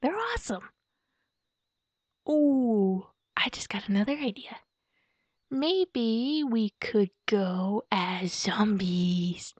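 A young woman playing a playful, excitable girlfriend in a roleplay audio, speaking softly and hesitantly as if musing aloud to her partner. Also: mid-range voice, extremely slow, breathy edge, no echo, full character voice. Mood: excited, brainstorming. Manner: giggly, cutesy, soft and hesitant, musing aloud. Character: playful, excitable werewolf girlfriend shopping for Halloween costumes. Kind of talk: girlfriend roleplay ASMR, improvised-sounding monologue to a partner. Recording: dull lo-fi close dry recording.

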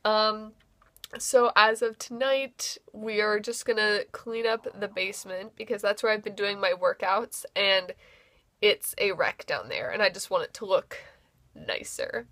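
A woman's voice: medium pitch, unhurried, fairly bright tone, dry recording. A young woman vlogging casually to the camera from her bedroom at home, chatty and upbeat, with frequent fillers. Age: young adult. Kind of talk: casual vlog chat to camera. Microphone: handheld camera or webcam at arm's length.

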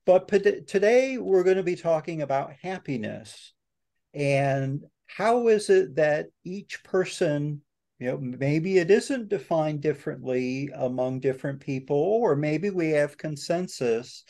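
A man's voice: a little high, warm tone. A man in his sixties hosting a casual panel discussion over a video call, easygoing and joking. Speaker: podcast host. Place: home office, video call. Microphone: webcam or computer mic on video call.

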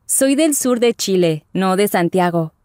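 A woman's voice: medium pitch, animated, unhurried, darkish tone, no echo, clean studio sound, close to an everyday voice. Woman voicing Isabella, a friendly young traveler. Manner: clear careful enunciation. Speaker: voice actor in language lesson dialogue. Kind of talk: scripted slow and normal-speed lesson dialogue.

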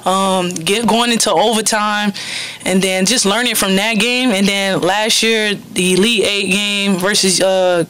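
A woman's voice: medium pitch, fairly even intonation, unhurried, a bit bright, smooth. A young woman, a college basketball player, answering press questions after a game in a chatty, playful way with many restarts, into a table microphone. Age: young adult. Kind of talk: postgame press conference answers. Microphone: table microphone on a stand.

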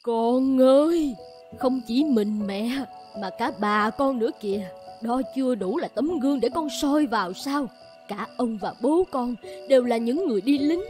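A woman's voice: on the high side, lively intonation, measured pace, a little mellow, soft background music. A woman voicing a stern, worried middle-aged village mother in a cartoon, scolding and lamenting. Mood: pleading, sad. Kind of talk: cartoon voice acting.

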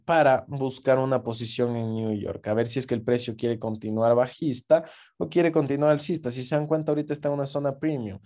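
Mellow-voiced man explaining trades. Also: medium pitch, fairly quick. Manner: casual, explanatory, frequent "¿ok?" check-ins. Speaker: forex trading instructor. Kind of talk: screen-share trading lesson.

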